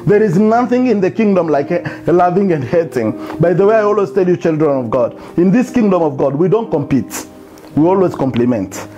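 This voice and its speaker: A man's voice: high-pitched, expressive, normal speed, warm tone, lo-fi sound, soft background music. A man, preaching a sermon and speaking to listeners as child of God. He talks on a lapel mic in an indoor studio. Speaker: pastor. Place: indoor studio set before a plain wall.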